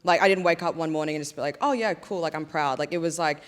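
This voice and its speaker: A woman's voice: deep voice, fast talker. A young woman sharing her views in a casual panel discussion, talking reflectively with lots of "like" fillers. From Australia. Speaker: panel guest.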